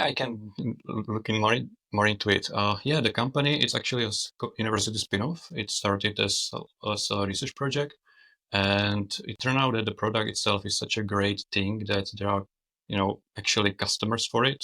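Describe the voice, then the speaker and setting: A low, gravelly man from the Czech Republic talks as a guest over a video call. His voice is bright but flat in pitch, and he keeps restarting words as he explains. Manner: hesitant, frequent restarts and fillers. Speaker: guest, autonomous vehicle expert. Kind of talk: conversational interview.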